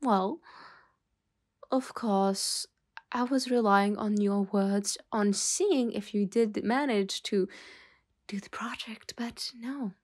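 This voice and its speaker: A woman playing a stern, weary boss in an ASMR roleplay, speaking in a measured way with sighs and hesitant pauses. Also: medium pitch. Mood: exasperated. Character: stern, weary female mafia boss scolding an employee. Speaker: ASMR voice actor.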